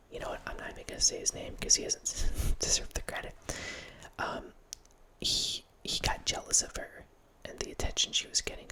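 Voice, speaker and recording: A man in his thirties whispering a relaxed ASMR ramble as host, close to a studio mic in a small home room, with frequent restarts. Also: rough recording. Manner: whispering throughout, relaxed film-nerd musing with frequent restarts. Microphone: studio mic close up, headphones on.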